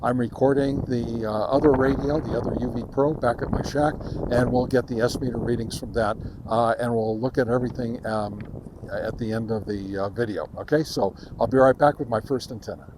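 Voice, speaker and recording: A man giving a casual, halting field-test commentary as host of a gear review, speaking outdoors. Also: medium pitch, steady tone, background noise. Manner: halting, hesitant delivery. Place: outdoors in a park.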